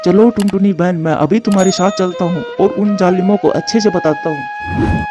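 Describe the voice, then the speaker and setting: A man's voice: high-pitched, normal speed, over music, natural voice. A man voicing an earnest, protective male bird in a storybook cartoon, on dull lo-fi audio. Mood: righteous, determined. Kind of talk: Hindi moral-story cartoon dubbing.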